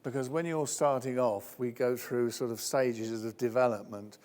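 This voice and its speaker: A man's voice: steady tone, normal speed, a little mellow. Joking male presenter in a reverberant hall. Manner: jokey, chatty, jumps to high squeaky voices when acting out others. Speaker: workshop presenter. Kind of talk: conference workshop talk with audience questions. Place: conference hall. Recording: long reverb of a large hall.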